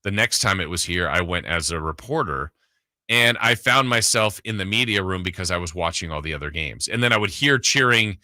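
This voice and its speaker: Male sports talk host, casual and emphatic, punchy sharp tone, slightly low register. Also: animated, a little fast, no room sound, clean studio sound.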